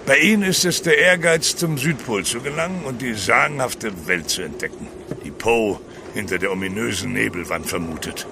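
An actor playing a gruff, driven, world-weary ship's captain in a radio drama. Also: male voice, lowish voice, normal speed, noisy background. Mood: guarded.

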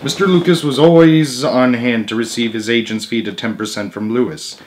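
A young man with a mid-pitched voice reads a children's story aloud. His delivery is expressive storytelling, broken by casual asides. He is at home in a living room, picked up by a camera a little way off. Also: moderate pace. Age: young adult.

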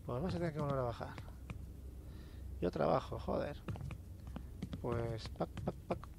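A man's voice: on the high side, moderate pace, gravelly, background noise. A man with a Castilian Spanish accent giving casual, informal gameplay commentary as a let's play host. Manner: informal, sweary, grumbling to himself. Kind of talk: casual gameplay commentary, reads in-game notes aloud.

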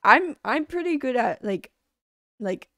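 A woman's voice: high voice, big pitch swings, measured pace, a bit bright. A young woman streaming a game, chatting casually with friends and giggling often. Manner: giggly, joking. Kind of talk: casual gaming stream chat.

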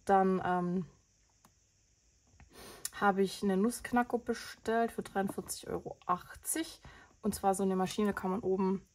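A woman's voice: medium pitch, fairly even intonation, a little slow, pauses often, a little mellow, slight background noise. A woman with a cold hosting a budgeting vlog, chatting casually and rambling through her expenses with lots of fillers. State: has a cold. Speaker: budgeting channel host.

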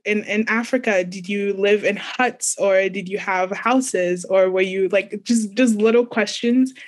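Young woman telling a hesitant story. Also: mid-range voice. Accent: light Nigerian. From Nigeria. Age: young adult. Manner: hesitant, searching for words with many restarts. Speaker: panelist. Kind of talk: personal story on a panel. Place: home, on a video call. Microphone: video call.

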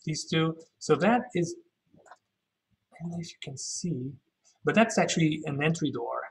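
A man's voice: fairly high pitch, lively intonation, moderate pace. A man guiding an informal virtual walking tour, thinking aloud and often correcting himself as he explains. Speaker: architectural tour guide.

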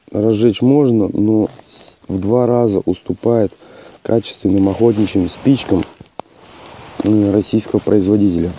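A man with a hoarse voice from a cold, testing gear outdoors in a plain, matter-of-fact way with hesitant fillers. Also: lowish voice, dark tone, a little hiss or hum. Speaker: outdoor channel host. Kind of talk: casual outdoor gear test. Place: outdoors on a rocky hillside.

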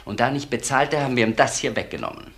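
Forceful, shady club boss. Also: male voice, medium pitch, expressive, quick pace, vocal fry. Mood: gruff, guarded. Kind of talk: German crime radio play acting.

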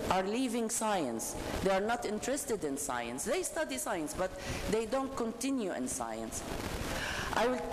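A middle-aged woman with an Iraqi accent giving an animated, emphatic keynote speech from a podium microphone in a large conference hall. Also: mid-range voice. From Iraq. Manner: animated, gesturing, emphatic with rhetorical questions. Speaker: keynote speaker. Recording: echoey hall with ambient noise.

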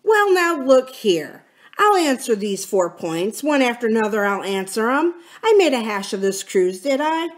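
A woman's voice: on the high side, very animated, measured pace, voice pushed up. A woman voicing a cunning, scornful male pirate captain and sea cook in a storybook, with sardonic, folksy sailor talk. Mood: angry, forceful. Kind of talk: dramatic storybook character reading.

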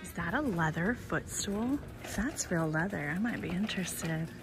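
A woman in her thirties who owns a vintage shop, chatting casually and excitedly as she films a thrift trip in a store and a car. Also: lowish voice, moderate pace. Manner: chatty, excitable, thinks aloud. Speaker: vintage shop owner and vlogger. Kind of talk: casual thrifting vlog chat. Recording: music playing in the background.

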